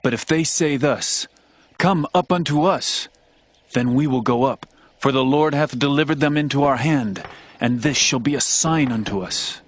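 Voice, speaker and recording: A man playing a confident, devout young warrior prince in a dramatized audio Bible, with a husky voice. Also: mid-range voice, moderate pace, slight background noise, lightly acted. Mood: resolute.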